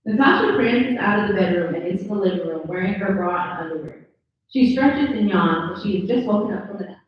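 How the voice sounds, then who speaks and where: A woman's voice: mid-range voice, lively intonation, brisk, slight background noise. A woman reading scripted stage directions as narrator at a live table read. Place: live indoor table read at a mixer event. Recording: slightly dull, very echoey, distant pickup.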